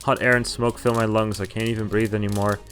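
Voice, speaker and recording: A man with a fairly low voice reads a visual novel aloud as a streamer. His delivery is dramatic but informal, with slips, self-corrections and casual swearing. Music plays along behind him. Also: flat delivery, fast, fairly bright tone. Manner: stumbles over words and corrects himself, swears casually. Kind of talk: dramatic read-aloud of game narration and dialogue with casual asides. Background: game music.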